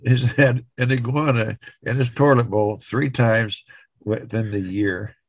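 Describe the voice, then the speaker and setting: A man co-hosting a podcast, retelling news stories in an unhurried, folksy, casual way. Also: mid-range voice, fairly even intonation, no room sound. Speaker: podcast co-host.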